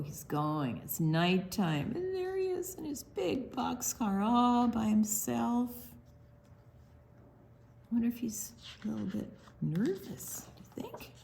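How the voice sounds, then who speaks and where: Lady doing kids storytime read aloud, super lively up and down, takes her time, asks little questions. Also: medium pitch, slow pace, gravelly, pauses often, a little hiss or hum. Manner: animated, explains pictures simply, asks children questions. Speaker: children's story time reader. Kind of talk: read-aloud picture book storytelling with asides to young children.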